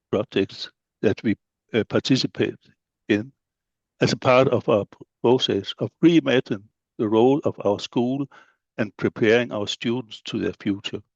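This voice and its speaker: A middle-aged man with a Danish accent and Danish as his first language, giving a measured conference presentation as a school head of studies, laying out points one by one with occasional fillers. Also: medium pitch, creaky, no room sound, muffled recording. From Denmark. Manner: measured, list-like delivery with fillers.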